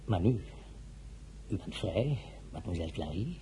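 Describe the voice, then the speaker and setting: An actor playing a courtly general wooing in a radio drama, ingratiating and halting. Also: male voice, low voice, expressive, mellow, slight background noise, low-quality recording. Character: smooth, hesitant French general courting as suitor.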